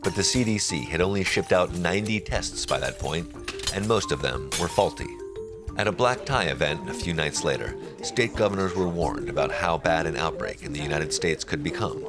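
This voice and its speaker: A man's voice: low-pitched, expressive, normal speed, over music. A man reading scripted narration for a news documentary.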